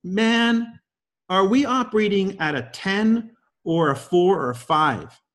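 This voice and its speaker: A man's voice: high voice, slow, fairly bright tone. A man in his fifties, guest-hosting a leadership call, giving a motivational talk full of emphatic rhetorical questions. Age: 50s. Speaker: guest host.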